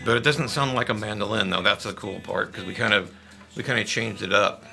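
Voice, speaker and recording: A man from a band, talking casually and at length about how one of their songs was made, relaxed and quick to laugh. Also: fairly low pitch, gravelly, over music. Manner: relaxed, rambling, laughs easily. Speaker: band member discussing a song. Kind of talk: casual conversational chat.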